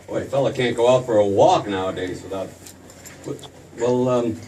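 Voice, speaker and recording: A man's voice: mid-range voice, normal speed, dark tone, a little hiss or hum, lightly acted. An actor playing an awkward, stubborn young small-town man with stammering, folksy hesitations in an old-time radio play. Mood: awkward, defensive. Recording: distant stage mic.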